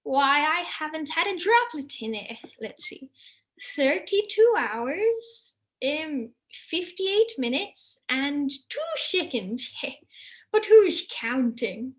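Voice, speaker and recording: A woman voicing a playful female fairy disguised as an old woman, with drawn-out, sing-song, cheeky speech. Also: high-pitched, sing-song, voice pushed up, exaggerated voice. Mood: croaky, teasing.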